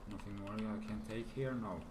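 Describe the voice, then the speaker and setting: A man with a somewhat low voice gives casual, halting commentary as he plays, in English that is not his first language. He often pauses to find the next words. The recording sounds roomy and has clear noise behind it. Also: fairly even intonation. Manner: hesitant, searching for words. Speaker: gaming YouTuber. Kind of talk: casual let's-play commentary.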